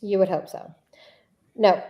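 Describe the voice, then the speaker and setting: A woman reading a deposition transcript as a persistent attorney who needles a witness and repeats questions deliberately. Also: mid-range voice, highs cut off, natural voice. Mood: insistent, exasperated. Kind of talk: read-aloud deposition transcript.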